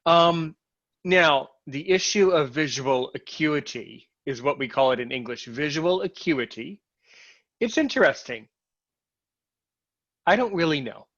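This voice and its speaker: An American man talking in a casual interview. He is animated, repeats and restarts his words when he gets excited, and comes through a video call. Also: a little high, measured pace, pauses often. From United States. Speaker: interviewee and show host. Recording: lo-fi online call audio.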